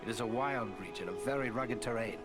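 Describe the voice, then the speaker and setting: A man plays a noble counsellor in a fantasy game. The voice sits at a middle pitch, serious, formal and informative, with music clearly behind. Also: fairly quick, lightly acted. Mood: earnest, briefing. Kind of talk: dramatic fantasy game acting.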